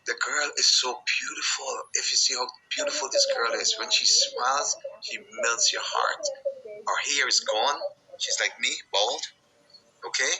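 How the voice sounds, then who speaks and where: Bright-voiced man in his sixties from Guyana. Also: mid-range voice, a little hiss or hum, rough recording. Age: 60s. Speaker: founder speaking on a charity video call. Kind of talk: casual meeting talk. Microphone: video call.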